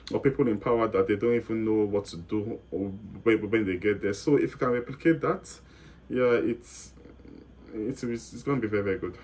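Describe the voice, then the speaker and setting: A man's voice: fairly low pitch, a little mellow. A young man with a Nigerian accent answering interview questions in a casual, rambling way, often repeating words and correcting himself mid-sentence. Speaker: guest.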